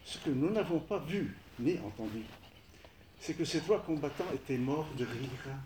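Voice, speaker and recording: A man whose first language is Arabic, recounting his film work to an audience in a halting way, repeating words as he restarts. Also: on the high side, lively intonation, a little mellow, recorded from a distance, slight background noise. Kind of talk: spoken recollection to an audience. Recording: echoey room, voice from off-mic.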